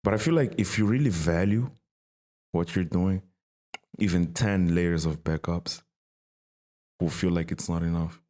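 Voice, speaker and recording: Deep-voiced young man chatting. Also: unhurried, long pauses, mellow. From Mozambique. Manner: informal and slangy. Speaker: podcast host. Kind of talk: casual chat, switching between languages.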